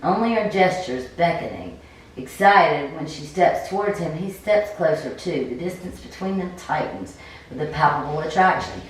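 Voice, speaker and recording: Low-voiced woman in a very echoey room. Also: lively intonation, moderate pace. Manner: stumbles over words while reading. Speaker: player reading a story game aloud. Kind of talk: cold read of game narration with casual commentary. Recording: very echoey room, distant mic, faint noise.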